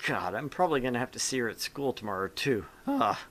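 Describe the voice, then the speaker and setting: A man's voice: mid-range voice, very animated, fast talker, a bit bright. A man voicing a bewildered young male student in a visual novel game, muttering in exasperation. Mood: puzzled.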